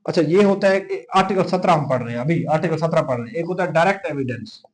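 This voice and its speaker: A man's voice: a little high, lively intonation, rapid-fire, a little hiss or hum. A man with a Pakistani accent teaching informally, explaining and dictating notes, repeating key terms and mixing in English technical words. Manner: mixes English legal terms into Urdu speech. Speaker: teacher of a law exam-prep class.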